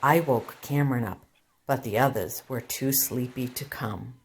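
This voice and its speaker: A woman reading a children's picture book aloud as a storytime teacher, with deliberate, expressive phrasing for young listeners. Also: rumbling low.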